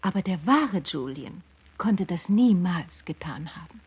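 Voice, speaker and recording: A woman's voice: on the low side, expressive, measured pace, a little mellow, breathy edge, exaggerated voice. A woman in a radio play, playing a distressed, disbelieving woman who defends an accused man. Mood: distressed disbelief.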